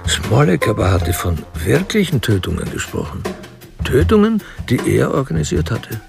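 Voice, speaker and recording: An actor as the detached, ironic narrator of a crime story, reading scripted literary narration in a radio play. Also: male voice, medium pitch, wildly swooping pitch, normal speed, gravelly, heavily acted. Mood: detached, measured. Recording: music behind the voice.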